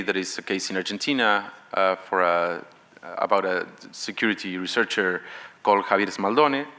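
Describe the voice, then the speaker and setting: A man's voice: lowish voice, bright, forceful tone. A man speaking on a conference panel about policy, hesitant, with restarts and fillers.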